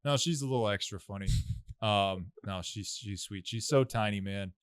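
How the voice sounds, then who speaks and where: Deep-voiced man, relaxed podcast chat. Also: moderate pace, clean tone, dry recording, clean studio sound. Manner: relaxed, rambling storytelling with many fillers. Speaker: podcast co-host. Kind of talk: casual chat.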